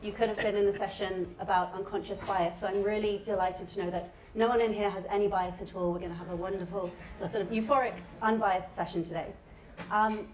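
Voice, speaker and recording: A woman's voice: medium pitch, darkish tone. A woman moderating a live conference panel, introducing the session and putting questions to the speakers. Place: indoor conference session room. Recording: echoey room, distant mic, background noise.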